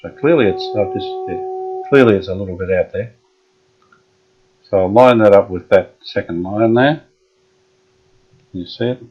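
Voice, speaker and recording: Aussie bloke fiddling with an old radio, low voice, muffled lo-fi sound, lots of uhs. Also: male voice, normal speed, pauses often. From Australia. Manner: relaxed, thinking aloud with frequent fillers. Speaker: radio restorer. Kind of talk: hands-on workshop narration. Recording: dull lo-fi workshop audio.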